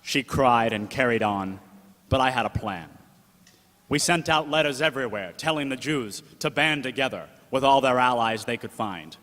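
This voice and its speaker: A man's voice: mid-range voice, lively intonation, moderate pace, fairly bright tone, lightly acted. A man playing a gangster's-tale narrator in the style of old 1930s radio drama. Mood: storytelling. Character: Mordecai, gangster-tale narrator, loyal uncle turned second in command. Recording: echoey hall, long reverb.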